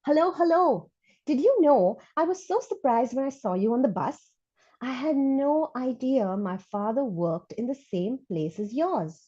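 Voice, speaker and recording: Woman voicing an excitable, chatty school child. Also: high voice, measured pace, slightly rough, highs cut off, voice pushed up, exaggerated voice. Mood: excited, chatty. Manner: gushing, expressive, dramatic emphasis. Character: chatty, excitable, frank school child writing letters to a friend. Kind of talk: animated storybook read-aloud.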